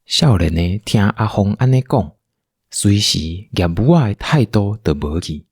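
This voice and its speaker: A man's voice: lowish voice, lively intonation, measured pace, creaky, darkish tone, husky, dry recording, clean studio sound. A man with a Taiwanese accent narrating a scripted audio drama as a storyteller.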